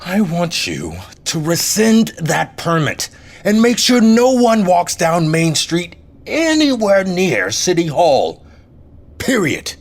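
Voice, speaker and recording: An actor playing a slick, scheming, domineering city mayor in an audio drama, with a politician's smooth, bossy manner. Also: male voice, high voice, very animated, takes it slowly, full character voice. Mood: commanding. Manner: smooth politician's charm turning to bossy irritation.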